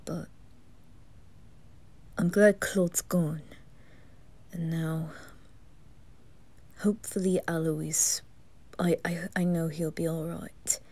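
A proud, reserved young nobleman and brother, acted out by a woman, in an ASMR fan roleplay. The voice is on the low side and unhurried, with a hushed, hesitant earnestness. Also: level tone, heavily acted. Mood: apologetic, relieved.

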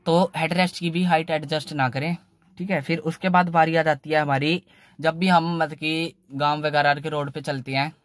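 A man's voice: fairly high pitch, steady tone, a bit bright, dry recording. Young man with a lilt, chatting loosely. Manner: loose, repetitive, fills every pause with "theek hai". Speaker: car channel host. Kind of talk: casual how-to talk in a parked car. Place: inside a parked small car.

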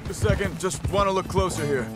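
An actor playing a calm, compassionate and watchful Wild West tracker in a video game, with an American accent. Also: male voice, high voice, brisk, over loud music, close to an everyday voice. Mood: earnest, urging. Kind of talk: naturalistic video game acting.

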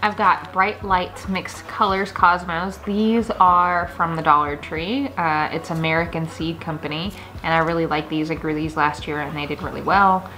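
A woman's voice: deep voice, steady tone, moderate pace, hardly any pauses, sharp tone, slight background noise, rough recording. A woman in her thirties chatting casually and enthusiastically to camera as a gardening vlogger in her kitchen. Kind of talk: casual chat to camera, showing seed packets. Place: home kitchen, indoors.

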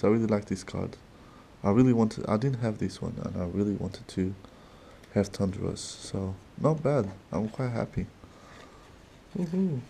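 Slow, low-voiced man with a non-native accent. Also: gravelly, warm tone, a little hiss or hum. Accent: non-native English. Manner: slow, halting, chatty with little laughs. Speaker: card-opening channel host. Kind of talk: casual card-opening commentary. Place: desk in a dim room.

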